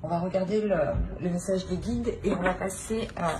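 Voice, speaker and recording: Woman, lowish voice, tarot reader, improvised card reading, hesitant repeated phrasing, noticeable background noise. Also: steady tone, normal speed. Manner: hesitant, repetitive phrasing, talking directly to the listener.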